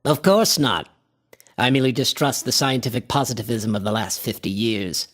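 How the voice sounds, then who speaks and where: An actor playing an obsessed, eccentric antiquarian visionary in a radio horror drama, with a husky, impassioned and pompous delivery. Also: male voice, mid-range voice, moderate pace, close to an everyday voice. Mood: scornful, lofty.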